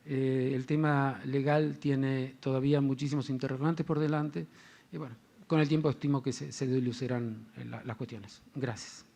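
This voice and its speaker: A man's voice: medium pitch, level tone, normal speed, creaky, warm tone, a little hiss or hum. A man speaking as a panelist at a formal panel, hesitant, with frequent fillers.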